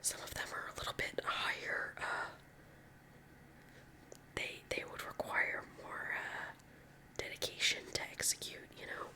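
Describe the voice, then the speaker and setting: A woman's voice: measured pace, pauses often, sharp tone. A young woman from California with a Californian accent, whispering ASMR chit-chat in a rambling, chatty way full of filler words while she does her nails. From California, USA. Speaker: ASMR creator.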